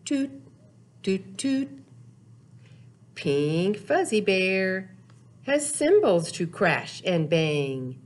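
Older woman, children's storytime presenter, very slow delivery, swooping intonation, simple phrases, playful sound words. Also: mid-range voice, big pitch swings. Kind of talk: storytime for small children, talking, chanting and reading aloud. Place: small indoor room, seen seated before a wall.

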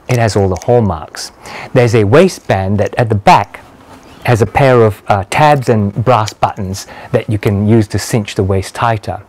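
A man in his sixties giving a casual product review to camera in a measured, understated, conversational way. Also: lowish voice, a little mellow. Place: small walled garden patio, outdoors. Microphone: lapel mic.

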